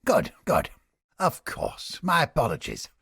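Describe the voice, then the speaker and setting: A man playing a haughty, creepy mind-reading interrogator in a video game, with a pompous, florid, theatrical delivery and a raspy, gravelly voice. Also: on the high side, huge pitch swings, measured pace, a bit bright, no echo, clean studio sound, heavily acted. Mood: dry condescension. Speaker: game character. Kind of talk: video game character voice lines.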